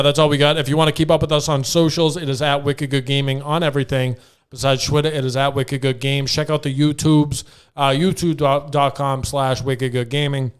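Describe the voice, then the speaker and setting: A man's voice: on the high side, smooth. A man hosting a podcast in a casual, conversational style. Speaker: podcast host.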